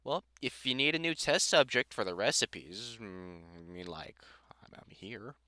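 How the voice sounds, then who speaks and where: A man playing a gruff older vampire hunter in a roleplay audio drama, a fond, teasing mentor with dry sarcasm who grows halting when sentimental. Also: medium pitch, sing-song, sharp tone, dry recording. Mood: awkward fondness.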